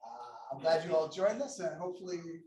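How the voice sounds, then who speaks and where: A man's voice: on the high side, lively intonation, brisk, crowd noise. A middle-aged man introducing himself at a workshop in an easygoing, joking way. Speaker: workshop presenter. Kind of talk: personal introduction at a conference panel. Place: conference room. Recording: echoey room, distant mic.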